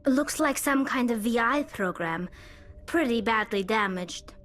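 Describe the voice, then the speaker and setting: A woman playing a wary, practical soldier squadmate in a sci-fi game, plain-spoken and brisk. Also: a little high, lively intonation, a bit bright, faint music behind.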